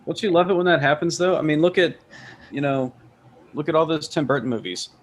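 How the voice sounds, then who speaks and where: A man's voice: on the high side, fairly quick, slight background noise. A man on a casual panel, easygoing and teasing.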